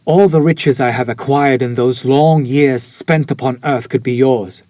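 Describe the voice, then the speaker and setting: Slow-spoken courteous male vampire, acted by a man. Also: medium pitch, darkish tone, no room sound, close to an everyday voice. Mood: pleading, devoted. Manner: formal, archaic, ornate, apologetic phrasing. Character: courteous, lovesick, centuries-old male vampire, obsessive and pleading. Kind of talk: scripted romantic audio roleplay, intimate direct address.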